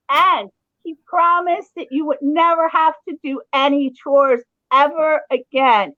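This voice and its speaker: A woman's voice: high-pitched, dry recording, highs cut off. A woman improvising a silly fairy tale, telling it in long run-on sentences. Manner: dreamy, breathless run-on narration. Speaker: storyteller.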